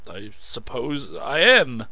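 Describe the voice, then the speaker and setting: Flustered hotheaded captain, louder and bright read by a man. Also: medium pitch, animated, measured pace, no echo. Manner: hot-tempered, stumbling when cornered. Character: proud, flustered young male captain.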